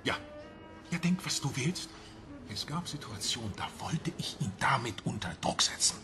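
A man's voice: mid-range voice, expressive, normal speed, over music, natural voice. An actor playing an aggrieved man in a crime radio play, bitterly and insistently justifying himself to his lover. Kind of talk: radio crime drama acting.

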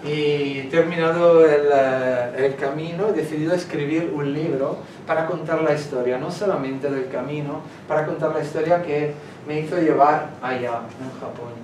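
A man with an Italian accent gives a lecture in a second language, searching for words and gesturing as he goes. His voice sits slightly high. He is recorded by a far camera in an echoey, noisy room. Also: steady tone, moderate pace, hardly any pauses, darkish tone. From Italy. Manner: gestures while talking, hesitant, searches for words. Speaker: guest speaker. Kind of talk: public lecture. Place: small lecture room in a civic centre. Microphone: far camera, no mic.

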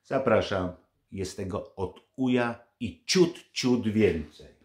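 A man's voice: fairly low pitch, slow pace, a little mellow, slight background noise. An older man vlogging from his living room to a close camera, scolding and mocking in a sarcastic tone. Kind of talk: angry political commentary to camera.

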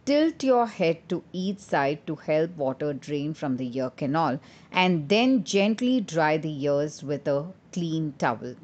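Slow, low-pitched woman narrating. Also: lively intonation, darkish tone. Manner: measured, instructional reading. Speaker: podcast host. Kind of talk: scripted health podcast narration.

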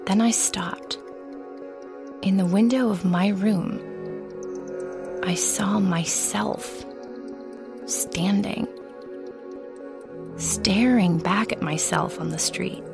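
A woman narrates dark fiction in a hushed, deliberate voice. She takes her time, leaving dramatic pauses, and her tone has a heavy creak over clearly audible music. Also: mid-range voice. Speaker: story narrator. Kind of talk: scripted first-person dark fiction narration.

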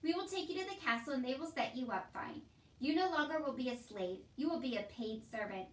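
A woman's voice: sits high, lively intonation, brisk. Woman acting an officious storybook king's soldier, echoey. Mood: loud, reassuring. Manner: formal, officious, proclaiming. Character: stern, dutiful male soldier of the king. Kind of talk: storybook read-aloud character voice. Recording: roomy echo, distant from mic.

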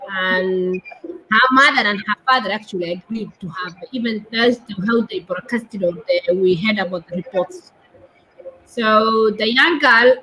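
A woman in her thirties with a Somali accent, explaining haltingly as an interview guest on a video call, searching for words. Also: medium pitch, fairly bright tone, husky, low-quality recording. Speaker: guest, doctor and women's rights activist. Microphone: laptop or phone on a video call.

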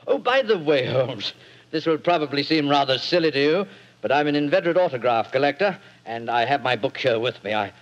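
An actor playing a vain, genial middle-aged stage actor with a hearty, theatrical manner, in 1940s radio acting. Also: male voice, medium pitch, lively intonation, fairly quick, close to an everyday voice. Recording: echoey, dull 1940s radio.

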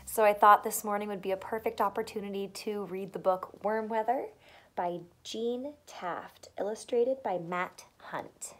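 A young adult woman, a teacher, reading a picture book aloud to small children with expressive delivery and simple chatty questions. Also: medium pitch, measured pace. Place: small furnished room at home, indoors.

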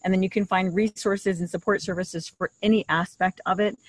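A woman's voice: medium pitch, fairly even intonation, brisk, no room sound. A middle-aged woman talks as a podcast co-host in a mid-range voice. She explains ideas casually and conversationally. The recording is dry, made on a desktop microphone. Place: outdoors in a leafy garden. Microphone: desktop condenser mic shared between two.

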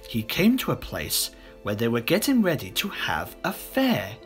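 A man narrating a children's audiobook as an expressive storyteller. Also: medium pitch, moderate pace, soft background music. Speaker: voice actor.

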